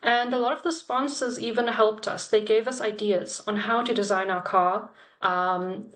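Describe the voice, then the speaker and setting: A young woman who grew up in China, talking earnestly on a video call about her experience as a student, with a steady explanatory manner. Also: medium pitch. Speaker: programme lead sharing her experience. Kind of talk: webinar talk.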